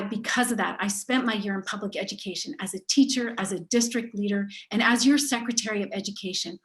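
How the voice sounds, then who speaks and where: A woman's voice: mid-range voice, low-quality recording. Woman, middle-aged, candidate for governor, emphatic, earnest, prepared statement. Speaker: gubernatorial candidate.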